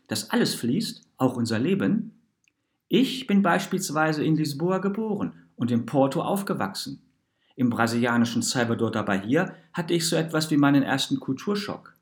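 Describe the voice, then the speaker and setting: A man voicing a passionate, well-travelled mother in a story, speaking earnestly, eloquently and reflectively about herself. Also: a little high. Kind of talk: storybook narration in character.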